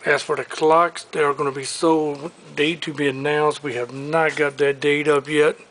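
A man's voice: on the high side, level tone, fairly bright tone. A man previewing auction items, listing furniture and collectibles one after another as he walks through a large warehouse showroom, recorded on a handheld camera. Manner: listing items briskly, upbeat salesman patter.

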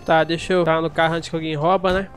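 A man's voice: fairly high pitch, little pitch movement, fast, faint music behind. A Brazilian man in casual, insistent, slangy chat while playing a role-play game.

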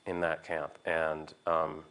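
Very deep-voiced man, halting and thoughtful. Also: monotone, fairly bright tone, clear, resonant tone. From United States. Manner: halting, thoughtful, searching for words. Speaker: art historian being interviewed. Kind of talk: reflective conversation.

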